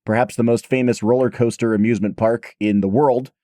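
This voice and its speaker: A man hosting a podcast with a voice worn hoarse and raspy, at a middling pitch. He tells stories in a chatty, self-deprecating way, recorded close on a dead, dry mic. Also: fairly even intonation, brisk, warm tone, no echo. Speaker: podcast host. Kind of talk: casual podcast chat.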